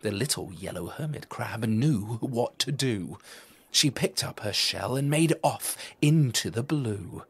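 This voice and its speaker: A man reading a children's story aloud in a deliberate, theatrical storytelling manner. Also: medium pitch, lively intonation, fairly bright tone, slightly rough. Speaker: storybook reader. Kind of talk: expressive children's story narration.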